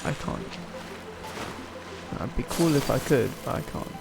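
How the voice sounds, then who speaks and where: A man's voice: medium pitch, expressive, a little slow, vocal fry. A man giving casual, muttered gameplay commentary as he streams, offhand and lightly sweary. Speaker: video game streamer. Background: game music and effects.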